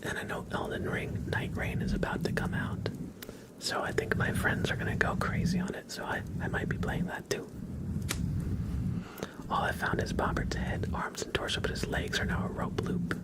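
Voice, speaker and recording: A man speaking in a soft, breathy whisper, chatting casually with viewers as an ASMR livestream host. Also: normal speed, poor audio.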